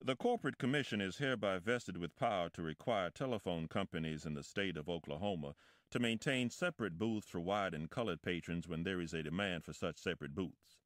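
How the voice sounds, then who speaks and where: A man reading historical legal text aloud in a formal, measured manner. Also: low voice, dry recording, studio quality.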